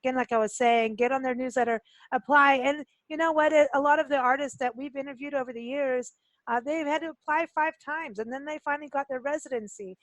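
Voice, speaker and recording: A woman's voice: high-pitched, fast talker, dry recording, rough recording. A woman from Kenya hosting a casual radio interview, talking in a chatty, informal, joking way. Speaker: radio show host.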